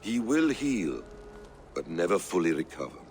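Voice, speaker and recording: An actor playing a calm, secretive male assassin who speaks in a measured, persuasive way. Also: deep voice, slight background noise. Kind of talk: video game cutscene acting.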